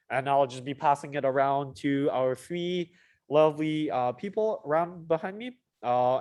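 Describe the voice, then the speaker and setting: A young man with a fairly high voice hosts a student panel on a video call. He comes from Mauritius and talks informally, feeling his way through his phrases as he presents. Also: a little mellow. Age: young adult. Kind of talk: informal online presentation, hosting.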